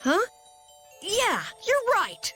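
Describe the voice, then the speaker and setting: A high, very animated little boy adventurer from a fantasy game. He sounds earnest, eager and easily flustered. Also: drawn-out words, faint music behind, full character voice. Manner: boyish, eager. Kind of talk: English game dub voice acting.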